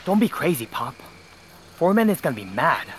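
An actor playing a blunt, worried miner arguing as a son in an audio drama, colloquial and exasperated. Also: male voice, a little high, very animated, a little fast, slight background noise.

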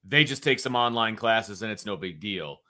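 Bright-voiced, emphatic male sports co-host. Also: on the low side, lively intonation, moderate pace. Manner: emphatic, repeats points for stress. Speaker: sports talk show co-host. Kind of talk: casual sports talk banter.

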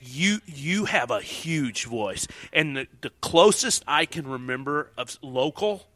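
A man's voice: on the high side, animated, unhurried, bright, forceful tone. A man with a St. Louis accent chatting loosely as an interview guest, laughing often and swearing freely. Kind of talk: casual chat.

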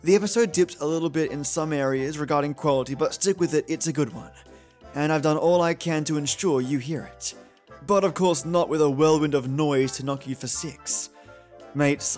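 A man introduces a show as podcast host. His voice is slightly high for a man, playful and theatrical, with music behind it. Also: darkish tone. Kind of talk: scripted introduction.

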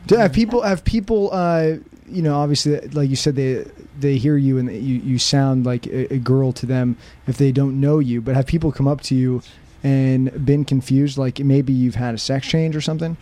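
A man hosting a radio show, asking teasing, halting questions with frequent restarts. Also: mid-range voice, fairly even intonation, dark tone. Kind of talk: casual radio interview. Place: radio studio.

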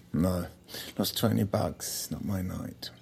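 Very deep, gravelly tipsy husband. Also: male voice, a little mellow, full character voice. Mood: tipsy, wistful. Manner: halting, muttering, pleading. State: slightly drunk. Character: tipsy, wounded, neglected husband. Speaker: actor in scripted audio drama. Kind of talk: naturalistic audio drama dialogue.

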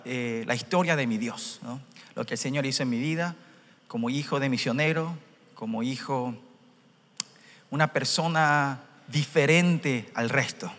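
A man's voice: medium pitch, a bit bright, big-room sound. A young man from Paraguay with a Paraguayan Spanish accent, giving his testimony at a youth rally in a casual way, often tagging lines with a question. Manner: conversational, tags lines with "¿no?". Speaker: interpreter and youth speaker.

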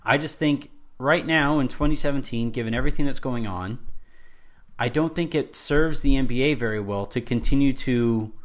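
A man co-hosting a sports podcast, talking in a casual, earnest way and building his argument step by step with restarts. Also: medium pitch. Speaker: podcast co-host.

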